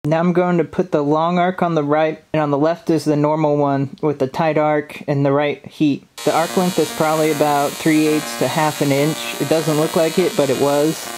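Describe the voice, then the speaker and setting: A man in his thirties calmly narrating welding footage, explaining step by step what he is doing. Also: fairly high pitch, normal speed, few pauses, rough recording. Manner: calm, step-by-step technical explanation. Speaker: welding instructor. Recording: voice-over with loud welding arc hum behind.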